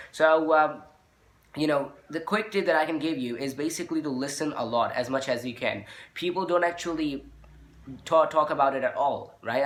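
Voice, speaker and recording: A man's voice: mid-range voice, a bit bright. A man who speaks English as a second language, giving an informal spoken lesson as a teacher, explaining and repeating his points with frequent check-in tags. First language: non-native English speaker. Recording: echoey, roomy sound.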